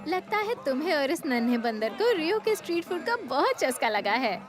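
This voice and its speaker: A feisty, quick-witted young cartoon woman. Her voice is high and animated, with a teasing, assertive edge. Music runs behind it. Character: sharp, feisty, knowledgeable young female companion. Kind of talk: Hindi cartoon dubbing.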